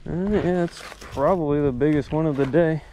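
A young man giving casual running commentary while fishing by a canal, talking to a chest-mounted camera, relaxed with excited bursts. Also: on the high side, brisk, background noise. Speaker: fishing vlogger. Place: outdoors by a canal spillway. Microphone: chest-mounted action camera.

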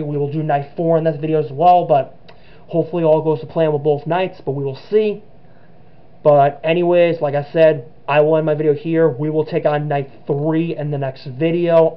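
A young man giving nervous, rambling gameplay commentary with many restarts, his voice cracking. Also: fairly high pitch. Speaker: gamer. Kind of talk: let's play commentary. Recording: dull lo-fi sound with game music.

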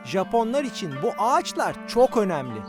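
A woman's voice: medium pitch, big pitch swings, normal speed, close to an everyday voice. A woman voicing a kind, knowledgeable mother in a children's cartoon, explaining things to children in an instructive way. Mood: kindly, explaining. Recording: music under the voice.

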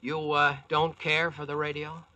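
An actor playing a confident, plain-spoken American Yankee engineer in old-time radio drama style. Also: male voice, on the high side, monotone, unhurried, dull audio, a loud crowd, lightly acted. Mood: wry. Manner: plain-spoken, folksy, self-assured. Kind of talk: 1940s radio acting, narration and dialogue. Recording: old radio drama recording, music behind.

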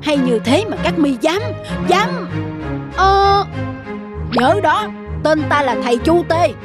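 Woman as a high-pitched boastful cartoon fox. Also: animated, takes it slowly, music in the background. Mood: indignant. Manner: pompous, self-important. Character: boastful fox posing as a martial arts master. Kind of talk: children's cartoon dubbing in Vietnamese.

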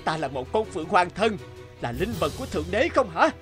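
A man voicing a proud, excitable divine male phoenix in a cartoon, with a haughty manner. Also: high voice, brisk, faint music behind, pitched above the performer's own voice. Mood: indignant.

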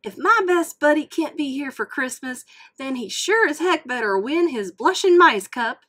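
A woman voicing an excitable, folksy male tow truck in a storybook read-aloud, loud and bouncy with country slang. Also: high voice, moderate pace, pitched above the performer's own voice. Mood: excited.

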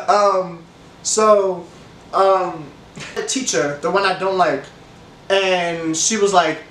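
A young man with a stuffy nose, telling a rambling casual story time to camera, animated and laughing often. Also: high voice, slow pace, a little hiss or hum. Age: young adult. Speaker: vlogger. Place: room with leather couch, indoors. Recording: echoey room, camera a bit far.

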